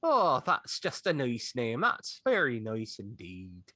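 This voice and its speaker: Super animated bright player voice, dry close mic, friendly in character. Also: mid-range voice, slow pace, dry recording. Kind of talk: playing a tabletop game in character.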